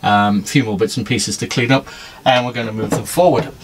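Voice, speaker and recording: A man's voice: on the low side, steady tone, noisy background. Chatty man vlogging aboard a sailboat. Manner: chatty and wry, with filler pauses. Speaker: sailing vlogger. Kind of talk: casual vlog narration. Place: inside a sailboat cabin.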